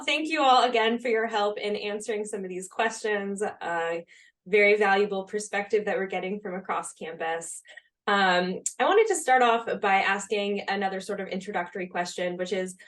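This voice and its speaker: A young woman hosting a university Q&A, upbeat and chatty with lots of small fillers. Her voice sits in a normal middle range and sounds a bit distant from the microphone. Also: mid-range voice. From Colorado, USA. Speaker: admissions counselor and host. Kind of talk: hosting a Q&A panel.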